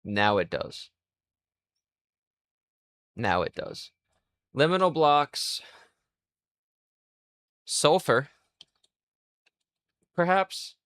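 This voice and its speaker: A man in his twenties streaming live, rambling in a crude, self-mocking way and thinking out loud. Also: a little high, sing-song, extremely slow, long pauses, dry recording, clean studio sound. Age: 20s. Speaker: livestreamer. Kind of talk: casual livestream chat.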